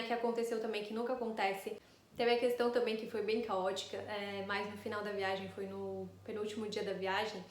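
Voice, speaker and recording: A woman's voice: on the high side, reverberant. Chatty woman telling a travel story. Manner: chatty, rambling, frequent tag questions. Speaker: astrologer sharing a travel story. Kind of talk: casual storytelling to camera.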